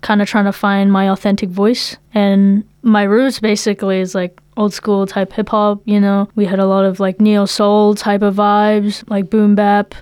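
Young kiwi woman chatting in an interview, slangy and informal, smooth clear voice, really dry close sound. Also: medium pitch, little pitch movement, moderate pace, hardly any pauses, darkish tone, no room sound. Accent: New Zealand. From Philippines, moved to New Zealand at eight. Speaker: musician, interview guest. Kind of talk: casual interview chat.

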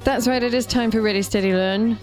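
A woman hosting a radio interview, lively and bantering with her guest. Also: fairly high pitch, fairly quick, faint music behind. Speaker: host.

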